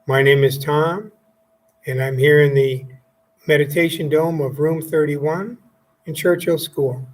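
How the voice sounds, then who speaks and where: A man leading a guided meditation as a teacher, speaking calmly and gently in short phrases with pauses. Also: on the high side, poor audio. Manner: calm, gently instructive, pausing between short phrases.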